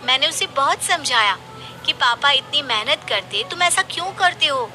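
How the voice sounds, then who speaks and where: Woman as a pushy, high, bright young daughter. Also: animated, normal speed, faint music behind, full character voice, rough recording. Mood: scheming, wheedling. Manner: wheedling, insistent. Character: pushy, scheming young adult daughter, greedy and sweet-talking. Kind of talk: animated moral story dialogue.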